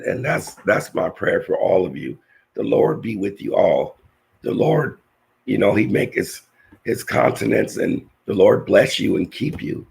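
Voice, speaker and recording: A man with a hoarse, nearly lost voice, slowly reading Bible verses and teaching a scripture lesson with halting restarts. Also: lowish voice, a little mellow. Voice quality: raspy, gravelly.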